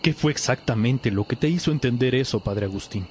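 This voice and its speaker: A man's voice: medium pitch, brisk, darkish tone, slight background noise, lightly acted. An actor playing an eager, respectful young male novice with an inquisitive manner in a religious radio drama. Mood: curious respectful.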